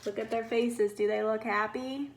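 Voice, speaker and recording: High-pitched woman reading to children. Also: fairly even intonation, normal speed, background noise. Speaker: teacher reading a picture book aloud. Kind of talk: expressive children's read-aloud with questions to listeners.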